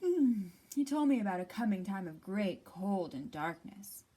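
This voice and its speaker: A woman voicing a sleepy, slow porcupine in a children's storybook, with a drowsy manner. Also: mid-range voice, very animated, dark tone, breathy edge. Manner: drowsy, yawning. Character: sleepy, slow porcupine passing on worrying rumours.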